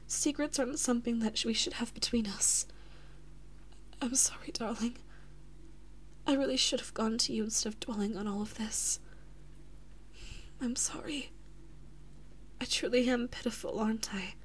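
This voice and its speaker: A woman playing a devoted, anxious big-sister princess and lover in an intimate audio roleplay, speaking softly and haltingly with breathy, emotional whispers. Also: a little high, fairly even intonation, slight background noise, natural voice. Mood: ashamed, apologetic.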